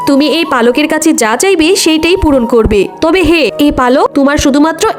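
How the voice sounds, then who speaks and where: A woman voicing a kind, gentle fairy in a children's cartoon. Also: sits high, brisk, soft background music, natural voice. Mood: kind, reassuring. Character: kindly, gentle forest fairy.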